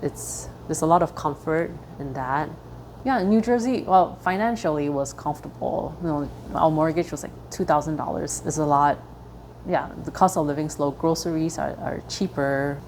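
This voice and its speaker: A woman raised in Singapore, speaking thoughtfully as a guest in a personal interview and often pausing to search for the right words. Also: low-pitched, a little mellow, slight background noise.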